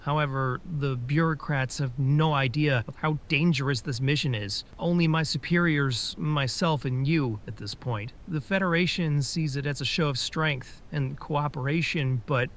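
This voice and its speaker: A man voicing an earnest male military officer in a fan audio drama, measured and pleading. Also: a little high, a bit bright, slight background noise, lightly acted.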